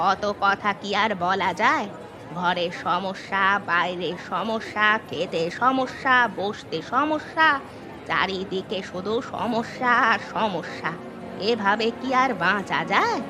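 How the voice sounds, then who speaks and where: A woman's voice: medium pitch, animated, takes it slowly, crowd noise. A woman playing an elderly village mother-in-law in a cartoon story, plain-spoken, folksy and instructive, troubled but shrewd. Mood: fretful, complaining. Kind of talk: scripted cartoon story acting.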